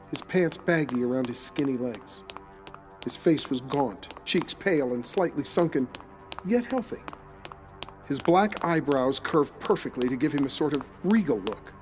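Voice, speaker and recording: A man playing the narrator of an audio drama, telling the story in a literary, deliberate, descriptive way. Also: on the high side, darkish tone, close to an everyday voice. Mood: measured, descriptive. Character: storytelling male narrator, confidant of the detective. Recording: long reverb, music under the voice.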